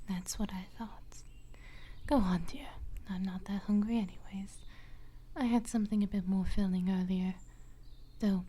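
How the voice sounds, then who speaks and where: A woman voicing a dramatic, affectionate female vampire companion in a soft, breathy half-whisper for a fantasy ASMR roleplay. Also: medium pitch, lightly acted. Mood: coy, teasing. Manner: theatrical, hesitant, endearments.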